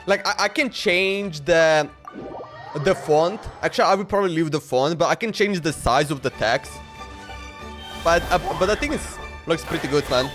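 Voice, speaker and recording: A man's voice: fairly high pitch, normal speed, a bit bright, soft background music. A man streaming a game, chatting and reacting casually in an informal, repetitive way. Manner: informal, repeats himself. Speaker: live streamer.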